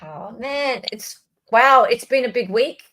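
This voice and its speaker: Woman stream host, fairly high voice, casual chat. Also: a little slow, a bit bright. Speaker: livestream host.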